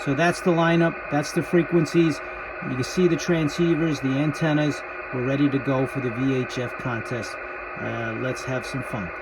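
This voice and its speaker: A man's voice: mid-range voice, steady tone, moderate pace, very noisy. A man who makes amateur radio videos, speaking casually as he narrates and operates.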